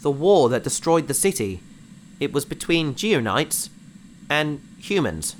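Bumbling male sidekick, earnest and over-polite, highish lively voice, sci-fi audio comedy-drama. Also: measured pace, a little hiss or hum. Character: eager, awkward, smitten human male space adventurer sidekick.

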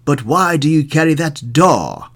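A man's voice: fairly high pitch, sing-song, a little slow, fairly bright tone, exaggerated voice. A man voicing a stern, incredulous sheriff in a storybook, with an emphatic, scolding manner. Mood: exasperated. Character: stern, incredulous sheriff questioning foolish townsmen.

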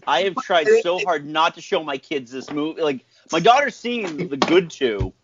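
A man's voice: fairly high pitch, normal speed, lo-fi sound, background noise. A man co-hosting a casual movie podcast, laughing often and putting on mocking voices. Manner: animated, laughs often, mocks accents. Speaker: podcast co-host. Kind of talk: casual chat.

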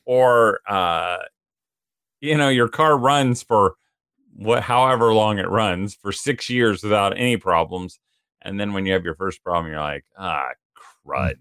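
Male podcast co-host, low voice, chatty storyteller who acts out quotes, dry close sound. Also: a little slow, a bit bright, smooth, no room sound. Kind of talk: casual podcast chat.

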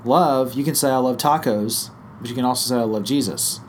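A man with a hoarse, croaky voice from a head cold, explaining a teaching idea in a relaxed podcast chat, thinking aloud with fillers and restarts. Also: mid-range voice, brisk, a little hiss or hum. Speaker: guest teacher on small group ministry.

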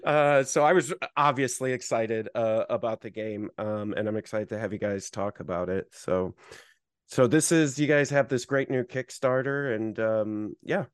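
A man's voice: medium pitch, moderate pace, smooth, no room sound, clean studio sound. A man hosting an interview, asking questions in a chatty way with plenty of fillers. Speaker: podcast host. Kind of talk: casual interview talk.

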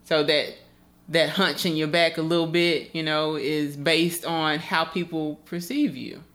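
A woman's voice: low-pitched, steady tone, measured pace, a bit bright. A woman co-hosting a casual podcast conversation, asking reflective questions and often restarting her sentences. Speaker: podcast co-host.

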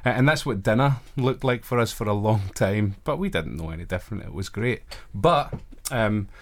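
A man's voice: on the low side, animated, normal speed. A man in his thirties with a Glaswegian accent, a college chaplain telling his life story as a guest in a radio studio, earnest with wry self-deprecating humor. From Scotland. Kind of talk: conversational interview storytelling.

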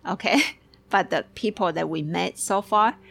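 A woman from Taiwan co-hosting a casual chat, talking in halting bursts with many restarts and fillers. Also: on the low side, vocal fry, fairly bright tone. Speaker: co-host.

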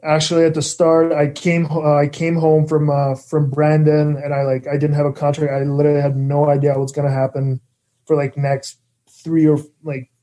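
A young man from the Czech Republic, a Czech native speaker, answering interview questions in English in a relaxed, halting way with many fillers. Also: a little high. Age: young adult. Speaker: guest, pro hockey player. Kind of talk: casual interview chat.

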